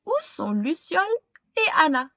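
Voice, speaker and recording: A woman reading a children's picture book aloud expressively, pausing to put simple questions to young listeners. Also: high voice, very animated, bright, forceful tone, no room sound. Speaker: storytime reader.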